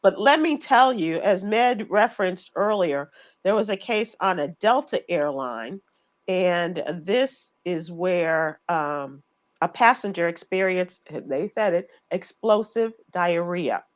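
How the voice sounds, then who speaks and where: A woman's voice: fairly low pitch, animated, slow pace, lo-fi sound. A woman radio host telling travel stories in casual chat, laughing and acting out voices as she goes. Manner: chatty, laughing, acts out voices in her stories.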